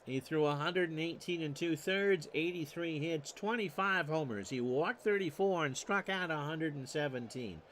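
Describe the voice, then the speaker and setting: A middle-aged man hosting and calling a simulated baseball game, folksy and quick to correct and joke about his own slips. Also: on the high side, expressive, a bit bright, slight background noise. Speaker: host and play-by-play caller of a simulated baseball replay. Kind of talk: solo tabletop sports simulation commentary streamed live.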